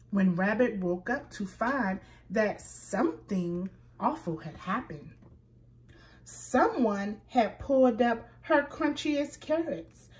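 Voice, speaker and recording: Slow, clear woman reading a picture book. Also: fairly high pitch, rough recording. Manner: slow, clear and expressive, with sounds spelled out. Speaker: children's story reader. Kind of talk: reading a picture book aloud to young children.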